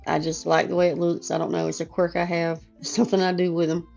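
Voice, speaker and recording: A woman who is losing her voice, hoarse and chatty, talking through a casual painting lesson over soft guitar music. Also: lowish voice, level tone, fast. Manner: casual, chatty, self-deprecating. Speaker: art teacher. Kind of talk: relaxed painting tutorial voice-over.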